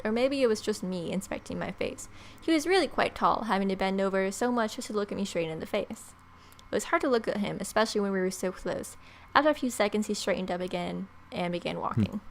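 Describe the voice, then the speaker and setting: A woman's voice: medium pitch, creaky, slight background noise. Young woman doing a wry let's play. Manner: wry, joking asides. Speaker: gaming video creator. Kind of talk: casual let's play, reading game text aloud. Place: small room at home, indoors.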